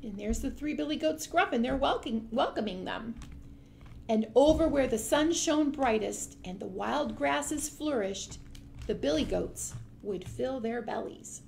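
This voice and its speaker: A woman with a high voice leads a storytime for young children at a slow, patient pace. She explains things in simple words and asks the listeners questions. There is faint noise behind her. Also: expressive, gravelly, darkish tone. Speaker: library storytime host. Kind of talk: children's storytime read-aloud with asides and questions.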